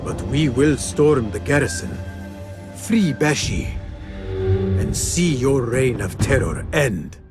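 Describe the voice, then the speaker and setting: An actor playing a young assassin hero in a video game, speaking in a calm, formal and determined way. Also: male voice, medium pitch, slow. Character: male assassin hero, young adult, resolute. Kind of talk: video game cutscene acting. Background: game music score.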